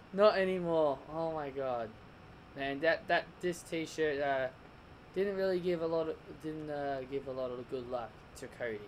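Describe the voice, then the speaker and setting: A man giving a casual, rambling wrestling review, often restarting his sentences, his voice croaking. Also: on the high side, a little slow, a little hiss or hum. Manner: rambling, restarts and corrects himself.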